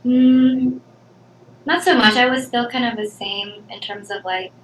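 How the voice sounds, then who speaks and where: Woman in her twenties, somewhat high voice, hesitant, recorded in an echoey room with faint noise. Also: steady tone, measured pace. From Philippines. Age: 20s. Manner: soft-spoken, hesitant with many ums. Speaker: interviewee. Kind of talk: casual interview answers.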